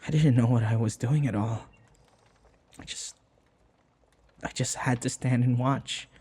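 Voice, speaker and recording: Soft, breathy, devoted male servant played by a man. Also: medium pitch. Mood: relieved, anxious. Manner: soft, halting, tender, pleading, dropping to whispers. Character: devoted young male human servant of a vampire mistress. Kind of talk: intimate audio roleplay acting.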